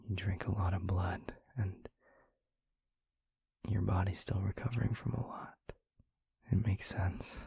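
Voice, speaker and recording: Man acting as devoted vampire boyfriend, whispery and husky, low, little melody, earnest, soothing, intimate roleplay audio. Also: dry recording, full character voice. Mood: soothing, affectionate. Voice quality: breathy, hushed, husky near-whisper. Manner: slow, halting, tender reassurance.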